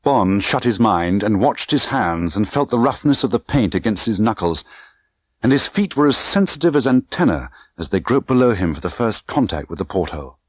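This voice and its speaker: Low-voiced man narrating dramatically. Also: expressive, normal speed, no echo, studio quality. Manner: tense, theatrical delivery with clipped short phrases. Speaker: audiobook narrator. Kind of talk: dramatic scripted narration of a spy thriller novel.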